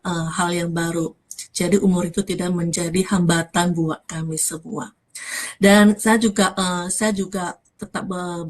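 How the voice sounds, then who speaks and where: A woman with an Indonesian accent hosting a casual live talk, mixing in English words and often rephrasing as she goes. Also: fairly low pitch. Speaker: host.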